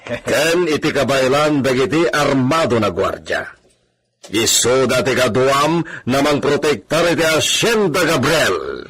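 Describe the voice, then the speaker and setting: An animated, commanding landowner of medium pitch in an Ilocano radio drama. with an Ilocano accent, giving orders to his men. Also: unhurried, slightly rough, full character voice. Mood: grave, commanding.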